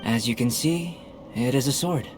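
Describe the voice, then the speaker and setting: A man voicing a calm, aloof young genius alchemist in an anime game, measured, polite and detached. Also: medium pitch, close to an everyday voice. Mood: calm, inquisitive. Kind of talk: anime video game voice acting. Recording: music bed behind the voice.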